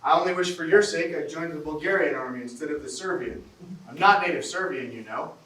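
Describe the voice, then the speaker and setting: A man's voice: a little high, brisk, fairly bright tone, close to an everyday voice. A man on stage playing a weary, practical Swiss soldier in a classic comedy, wry, polite and self-deprecating. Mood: wry, courteous. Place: theatre stage, recorded from the audience. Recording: roomy, distant stage recording with hiss.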